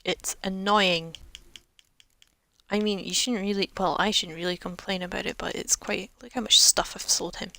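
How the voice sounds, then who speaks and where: A woman's voice: medium pitch, steady tone, moderate pace, creaky, sharp tone, dry recording. A young woman in her twenties, rambling casually over a video game she plays. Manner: rambling, chatty, self-mocking. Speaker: game streamer. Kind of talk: casual commentary over gameplay.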